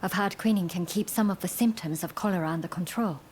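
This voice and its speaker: A woman's voice: on the low side, fast talker, a little mellow, slight background noise, lightly acted. A woman voicing a compassionate, resolute doctor in a video game, earnest and polite.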